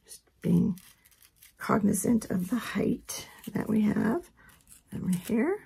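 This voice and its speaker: A woman with a hoarse voice from a head cold, giving a relaxed, chatty craft tutorial at her work table. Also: mid-range voice, takes it slowly. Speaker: craft instructor. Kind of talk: casual step-by-step tutorial.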